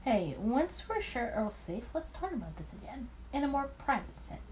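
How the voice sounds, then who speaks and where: A woman voicing an earnest, easily flustered male detective in a visual novel game, hesitant but expressive. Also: fairly high pitch, normal speed, slight background noise, poor audio.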